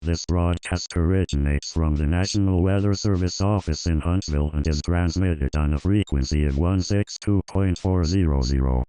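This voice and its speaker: Deep, dark synthetic male station announcer. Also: normal speed, long pauses, smooth, dry recording, rough recording. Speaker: weather radio station announcer. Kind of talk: scripted station identification.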